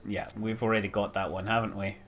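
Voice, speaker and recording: A man's voice: lowish voice, little pitch movement, fast talker, a bit bright. A man giving casual live stream commentary as he plays, rambling, thinking aloud and exclaiming over details. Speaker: streamer playing a space building game.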